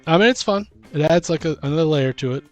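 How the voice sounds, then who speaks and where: Rambling, hesitant man over soft guitar music. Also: fairly high pitch, quick pace. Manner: rambling, hesitant, thinking aloud. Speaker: podcast host and game master. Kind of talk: casual chat about tabletop role-playing.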